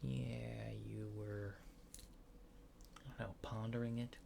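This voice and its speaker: A man performs an ASMR exam roleplay in a soft, hushed voice with a gravelly creak. He murmurs slowly and hesitantly, slipping toward a whisper at times. Clear background noise sits behind him. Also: mid-range voice, level tone. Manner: murmured, hesitant, unhurried short instructions. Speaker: ASMR performer playing an examiner.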